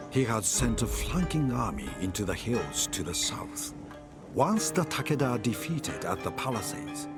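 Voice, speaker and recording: A man narrating samurai wars for a video game cutscene as a grave chronicler, in measured, dramatic storytelling. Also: medium pitch. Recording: music under the narration. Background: cinematic music.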